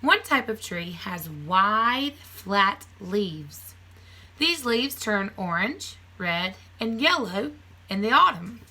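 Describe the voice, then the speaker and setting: Bright-voiced woman reading aloud to children. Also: mid-range voice, very animated, slow. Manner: simple, clearly phrased teacherly delivery addressed to young children. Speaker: teacher reading aloud to a class. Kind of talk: children's nonfiction read-aloud with casual asides.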